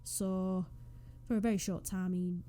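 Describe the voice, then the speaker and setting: Mellow-voiced Northwest English young woman. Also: mid-range voice, level tone, a little slow, a little hiss or hum. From northwest England. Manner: rambling, self-deprecating, giggly asides. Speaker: Sims YouTuber. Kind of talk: casual voice-over chat over a speed build. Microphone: USB desk microphone.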